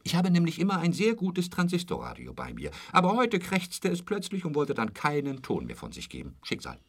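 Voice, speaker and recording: An actor in a radio drama playing a talkative, intrusive, lecherous hotel roommate who boasts and pities himself in a pestering way. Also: male voice, fairly high pitch, wildly swooping pitch, fairly quick, full character voice. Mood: nosy, animated. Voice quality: husky, dropping into whispers. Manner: garrulous, pestering, repeats pet phrases.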